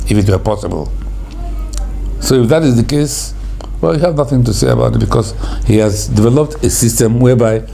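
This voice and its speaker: An older man with a Nigerian accent and a croaky voice, a guest recalling the past at an unhurried pace. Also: fairly low pitch, mellow, slight background noise. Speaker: interview guest. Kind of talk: reflective storytelling.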